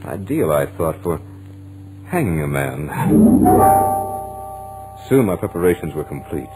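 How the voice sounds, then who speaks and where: An actor playing a studious, stubborn young lodger with dry humour, in measured, dramatic 1940s radio horror style. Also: male voice, rumbling low, sing-song, heavily acted, low-quality recording. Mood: tense, absorbed. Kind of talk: 1940s radio horror acting, first-person narration. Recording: old radio drama recording with music.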